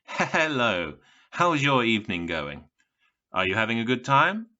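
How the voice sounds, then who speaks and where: An actress playing a mysterious, overly friendly voice coming through a headset in an audio drama, with dull sound. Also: female voice, very deep voice, sing-song, a little slow, bright, forceful tone, full character voice. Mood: eerily friendly.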